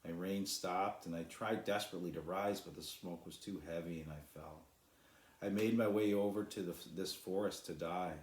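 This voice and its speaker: A man voicing a sad, weary, gentle female cloud in a children's storybook, apologetic and wistful. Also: low voice, fairly even intonation, moderate pace, close to an everyday voice. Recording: roomy echo.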